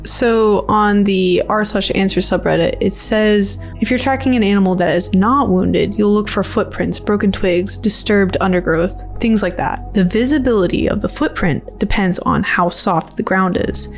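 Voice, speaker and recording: A woman's voice: mid-range voice, moderate pace, few pauses, faint music behind. A woman giving casual, rambling commentary on a video game trailer in a playful, slangy, informal way. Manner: informal, playful, slangy filler-heavy speech. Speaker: video creator and host.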